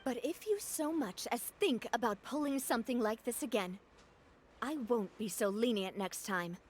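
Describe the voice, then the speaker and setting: A woman playing a poised, warm young leader of an organisation in a video game. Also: a little high, moderate pace, lightly acted. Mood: stern, composed.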